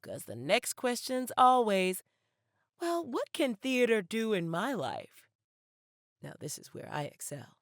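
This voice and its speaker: A woman's voice: a little high, big pitch swings, takes it slowly, no echo, clean studio sound, exaggerated voice. A young woman with an American accent acting a savvy theater student in an audio play, sardonic and theatrical as she talks to the audience. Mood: sly, confiding. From Detroit, United States. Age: young adult. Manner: theatrical, sardonic, swinging between confiding and performing. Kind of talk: dramatic monologue to the audience.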